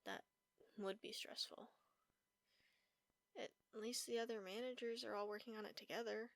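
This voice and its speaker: A woman playing a caring vampire roommate in a comfort audio roleplay, speaking softly, gently and reassuringly. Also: on the high side, fairly even intonation, moderate pace, dry recording, natural voice. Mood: gentle concern. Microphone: close, dry microphone.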